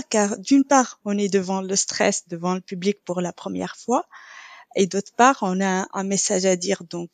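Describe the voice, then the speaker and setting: Non-native french speaker, young woman, lots of ums, dry close sound, mid pitch. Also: creaky, darkish tone, no echo. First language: neither French nor English, trilingual. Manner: hesitant, many filler sounds. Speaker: podcast guest, quality coach. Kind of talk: casual interview talk.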